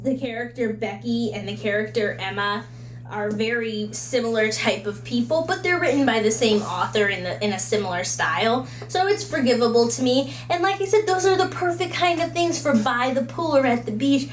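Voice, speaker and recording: A woman with a high-pitched voice talks casually, like a vlogger recommending books to viewers. Her delivery is conversational, with small acted-out asides. The room adds noticeable echo, and a faint hum sits behind her. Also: expressive, moderate pace. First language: English. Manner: conversational, acts out little imagined quotes and asides. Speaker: book reviewer giving recommendations. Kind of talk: casual, chatty video book recommendations.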